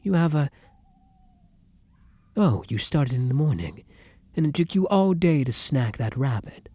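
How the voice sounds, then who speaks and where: A man playing a courtly, starving vampire lover in an ASMR roleplay, speaking formally and deliberately with dramatic pauses and frequent drops to a whisper. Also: mid-range voice, sing-song, dark tone, dry recording. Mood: gentle, curious. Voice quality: breathy, often dropping to a whisper. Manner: formal, archaic, endearment-laden, deliberate speech with dramatic pauses. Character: kind, courtly but starving vampire lover, turning predatory. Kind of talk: ASMR roleplay addressed to the listener.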